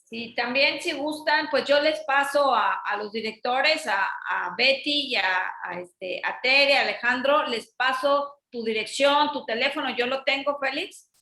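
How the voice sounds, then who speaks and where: Female host, high and bright, chatty, echoey room on a video call. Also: unhurried. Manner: friendly, informal with fillers. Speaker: event organizer and host. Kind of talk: online group talk.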